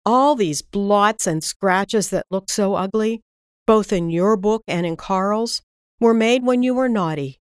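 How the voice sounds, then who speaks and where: A woman voicing a kindly but firm fairy in a storybook, patient and measured as she teaches. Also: medium pitch, clean tone, no echo, clean studio sound, close to an everyday voice. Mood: gentle, sad.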